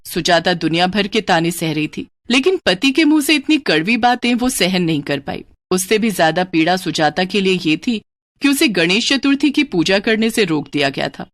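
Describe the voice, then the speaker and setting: A woman's voice: on the low side, lively intonation, normal speed, no room sound, studio quality. A woman narrating a scripted moral cartoon story in a dramatic storytelling style.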